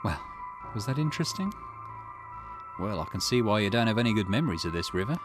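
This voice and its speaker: A man's voice: on the low side, lively intonation, fast talker, lightly acted. A man voicing a polite, inquisitive man in a visual novel, courteous and insinuating, with music behind. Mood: courteous, probing.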